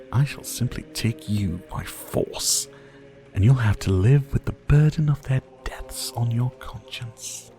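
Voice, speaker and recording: A man playing a centuries-old, sadistic vampire lord in a scripted ASMR roleplay, speaking close in a silky, theatrical, taunting way that often drops to breathy whispers. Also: medium pitch, warm tone, heavily acted. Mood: menacing. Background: faint music.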